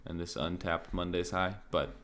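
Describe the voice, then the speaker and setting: A man streaming crypto market commentary in a casual, thinking-aloud way, his voice slightly raspy and often interrupted by throat clearing. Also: deep voice, moderate pace. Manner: relaxed, thinking aloud, many fillers like "like" and "um".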